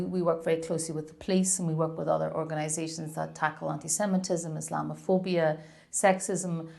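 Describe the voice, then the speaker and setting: A woman who leads an anti-discrimination organisation, answering interview questions in a measured, earnest way. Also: on the low side, gravelly, darkish tone.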